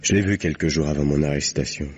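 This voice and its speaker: Very deep, bitter condemned man. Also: fast talker, a little mellow, slightly rough. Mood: resigned. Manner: sardonic, terse. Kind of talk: old French radio mystery acting. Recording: muffled, hissy old radio recording.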